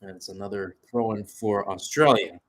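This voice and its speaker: A man's voice: lowish voice, normal speed. A man giving live play-by-play commentary on a soccer match, conversational between calls with asides and statistics.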